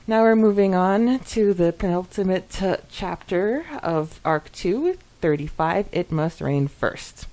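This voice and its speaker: A woman co-hosting a podcast in a casual, giggly, expressive way as she reads and reacts to listener comments. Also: on the low side, measured pace, few pauses. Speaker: podcast co-host.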